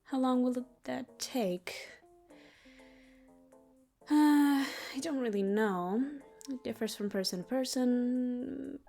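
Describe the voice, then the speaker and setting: A woman voicing a teasing yet tender female demon in an intimate roleplay ASMR style, soft and breathy, often near a whisper. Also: high-pitched, takes it slowly, pauses often, exaggerated voice. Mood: gentle, soothing. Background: music.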